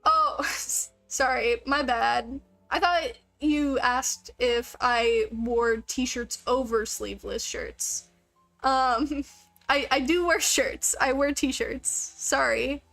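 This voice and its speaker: Girl streamer rambling casually to chat, kinda high voice, jokey, soft game music behind her. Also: slow, creaky. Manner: joking, self-deprecating. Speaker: game livestreamer.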